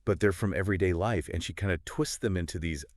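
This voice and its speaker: A man's voice: low-pitched, quick pace, no echo, studio quality. A man co-hosting a podcast, chatting casually and informally about books in a conversational, agreeing way. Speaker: podcast co-host. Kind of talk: casual book discussion chat.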